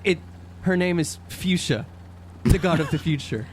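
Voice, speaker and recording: A man's voice: on the high side, moderate pace. A man playing a tabletop role-playing game, bantering in a joking, informal way. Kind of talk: casual banter during game play. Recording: faint hum and background noise.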